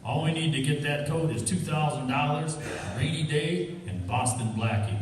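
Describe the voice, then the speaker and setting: A man's voice: a little high, normal speed, close to an everyday voice. A man playing a cocky, scheming fur thief with slangy tough-guy talk in an old-time radio crime drama. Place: live stage performance in a hall. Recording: echoey hall, distant mic, noisy.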